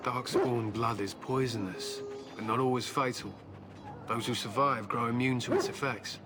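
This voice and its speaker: An actor playing a grim, proud warrior who fights alongside hounds in a video game, speaking in solemn declarations. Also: male voice, mid-range voice, fairly even intonation, moderate pace, heavy background noise, lightly acted. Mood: grim resolve. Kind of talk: scripted video game character dialogue. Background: loud game music.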